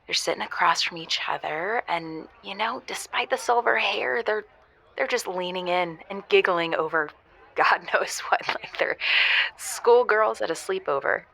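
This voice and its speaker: An actress playing an effusive, dramatic romantic who calls a radio show in a comedic audio drama, full of endearments. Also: female voice, mid-range voice, unhurried, gravelly, a bit bright. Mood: delighted. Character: gushing, theatrical, romantic regular caller to a radio show. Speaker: caller.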